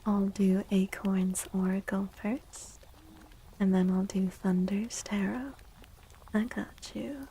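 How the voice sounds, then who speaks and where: A woman streaming soft-spoken ASMR tarot readings in a breathy half-whisper, gentle and encouraging. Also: mid-range voice, fairly even intonation, takes it slowly, slight background noise.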